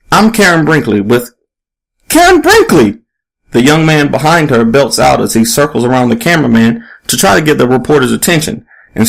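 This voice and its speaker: A man's voice: medium pitch, vocal fry, poor audio. A man reading a scripted short story aloud as its narrator. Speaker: story reader.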